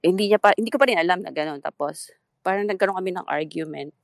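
A Filipino woman in her thirties with a thick Filipino accent, telling her story with hesitant, halting speech and mixing English with Tagalog. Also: fairly low pitch, gravelly, no room sound, studio quality. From Philippines. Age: 30s. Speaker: guest seeking advice. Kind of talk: casual chat.

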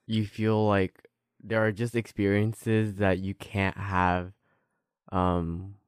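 Young man, smooth lowish voice, laid-back reassuring chat, dry close mic. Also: completely flat delivery, slow pace, no echo. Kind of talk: casual podcast chat.

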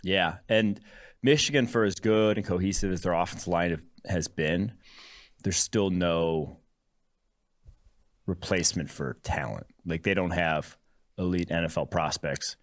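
A man's voice: sits low, measured pace. A man hosting a sports podcast, giving casual, conversational analysis of games.

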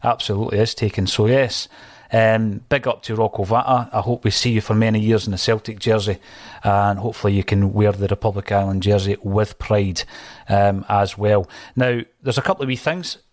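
Podcast host, lowish voice, forceful opinions. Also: male voice, steady tone, a little fast. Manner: emphatic, opinionated. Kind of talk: casual chat.

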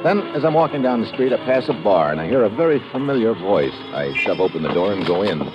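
Hard-boiled gumshoe, low and animated, laconic wisecracks, old radio drama sound. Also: male voice, fast. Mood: wry, tense. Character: wry hard-boiled American investigator, adult man. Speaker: lead actor and narrator. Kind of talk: old-time radio detective drama, first-person narration. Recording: muffled old radio recording, music behind.

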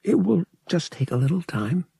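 Man voicing an earnest, husky, higher-pitched devout young woman. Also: very animated, moderate pace, mellow, no echo, heavily acted. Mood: earnest, reserved. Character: earnest, devout young female religious pilgrim, wistful. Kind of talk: audiobook character acting.

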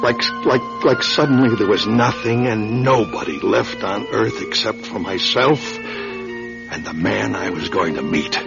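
An actor playing an anguished, desperate man in a radio drama, confessing to his wife in halting, repeated phrases. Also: fairly low pitch, gravelly. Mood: haunted confession. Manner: halting, repeating words as if distraught. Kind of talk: dramatic radio play acting. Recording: dull lo-fi radio recording, music behind.

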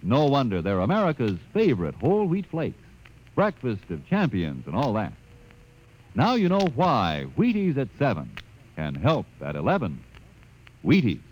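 A chatty, folksy male pitchman on a vintage radio broadcast. His pitch swings widely as he sells, with a faint hiss of the old recording beneath. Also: huge pitch swings, moderate pace, darkish tone, rough recording. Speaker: commercial announcer. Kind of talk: radio cereal commercial.

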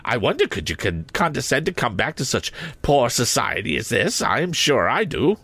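A man doing a shrill, scolding woman. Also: big pitch swings, normal speed, a bit bright, breathy edge, no room sound, heavily acted. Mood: sarcastic. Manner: sarcastic, scolding.

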